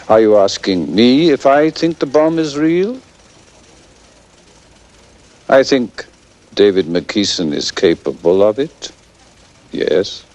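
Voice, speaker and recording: A man playing a grave, drily pointed atomic scientist in a TV interview, formal and measured. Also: fairly low pitch. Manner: formal, measured, tag questions. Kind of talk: televised expert interview acting.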